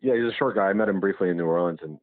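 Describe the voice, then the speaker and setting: A man's voice: deep voice, very fast, no echo. A man interviewing a musician over a muffled phone line in a casual, hesitant way, rambling through his questions.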